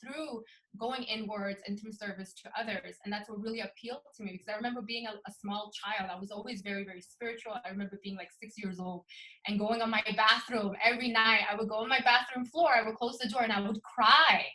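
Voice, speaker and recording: A woman's voice: medium pitch, fairly even intonation, fast, sharp tone, low-quality recording. A young woman from Sweden, a photographer, talking earnestly and eagerly as an interview guest, with frequent ums. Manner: earnest, enthusiastic, many ums. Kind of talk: casual interview talk.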